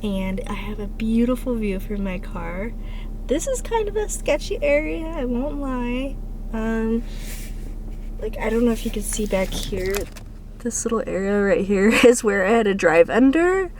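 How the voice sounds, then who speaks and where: A woman's voice: a little high, sing-song, measured pace, darkish tone, slight background noise. A young woman with a slightly sore throat, chatting casually to a handheld camera as she vlogs her travels, with lots of ums. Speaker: travel vlogger. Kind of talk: casual vlog talk to camera. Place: in a car and outdoors by a river. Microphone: handheld camera at arm's length.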